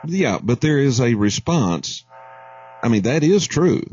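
A man's voice: medium pitch, big pitch swings, unhurried, dark tone, breathy edge, lo-fi sound. A man hosting a talk radio call-in show, blunt and opinionated.

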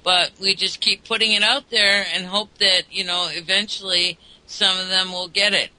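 High, bright-voiced man rambling on. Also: flat delivery, normal speed, poor audio. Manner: rambling, lots of ums and uhs. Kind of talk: talk show conversation.

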